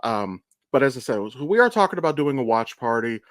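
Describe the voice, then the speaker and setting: A man's voice: mid-range voice, sing-song, brisk, dry recording, studio quality. A man co-hosting a podcast in casual chat, with a blunt, enthusiastic, sweary way of talking. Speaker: podcast co-host and amateur voice actor.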